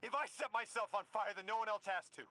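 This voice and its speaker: An earnest time-lost man, high-pitched, resolute. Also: fast talker, bright, forceful tone, dry recording. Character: earnest young man displaced in time, self-sacrificing. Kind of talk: video game voice acting.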